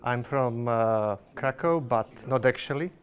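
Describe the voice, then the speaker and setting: A man's voice: medium pitch, unhurried. A Slovak man, a product manager, giving a conference presentation in careful non-native phrasing full of fillers and restarts.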